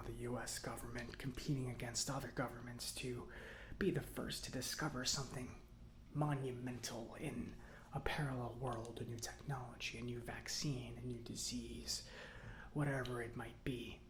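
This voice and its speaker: A man playing a chatty, easygoing robot mechanic in an ASMR roleplay, speaking softly in a breathy half-whisper with a rambling, conversational manner. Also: mid-range voice, background noise, lightly acted. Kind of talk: soft-spoken ASMR roleplay.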